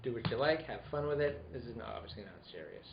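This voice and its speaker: Echoey, animated man hosting with jokes. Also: mid-range voice, fast. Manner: jokey, rambling, animated. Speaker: host of a live radio-play show. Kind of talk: casual banter and show introduction. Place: indoor room with group. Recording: echoey room, muffled, heavy noise.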